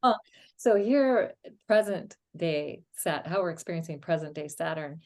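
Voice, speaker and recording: A woman's voice: deep voice, animated, measured pace. A woman hosting a conversation on astrology, talking casually with frequent small laughs and uh fillers. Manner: warm and laughing, with many uh fillers. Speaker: astrology podcast host.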